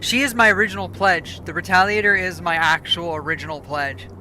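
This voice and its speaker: A woman's voice: lowish voice, fairly even intonation, brisk, bright, forceful tone, slight background noise, low-quality recording. A woman streaming a game, chatting casually and rambling, correcting herself as she goes, her voice starting to go. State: losing her voice. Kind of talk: casual live gameplay chat.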